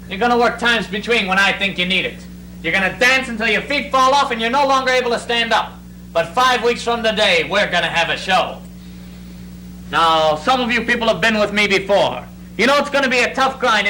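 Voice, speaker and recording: Young man acting a forceful, high-voiced show director. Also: full character voice. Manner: commanding, emphatic drill-sergeant delivery. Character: tough, driving stage director addressing his cast. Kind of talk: theatrical readers theatre monologue. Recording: noisy, humming amateur stage recording.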